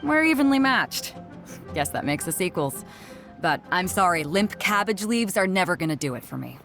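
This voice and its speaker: Casting: hearty, hard-drinking woman captain in a game, very expressive, confident, music clearly underneath. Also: lowish voice, normal speed. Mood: scornful. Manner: swaggering, boisterous, blunt. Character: hearty, brash, confident female ship captain who loves drink. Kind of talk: video game cutscene acting. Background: playful music.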